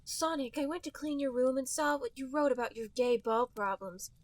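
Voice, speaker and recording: A woman's voice: sits high, moderate pace, no echo. A woman voicing a caring, worried mother in a comic story, reading her lines earnestly.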